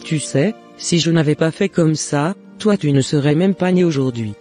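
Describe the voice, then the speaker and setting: Cartoon mother, middle-aged, remorseful and apologetic , male actor, mellow timbre, slightly raised pitch. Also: moderate pace, soft background music, natural voice. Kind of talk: scripted cartoon dialogue.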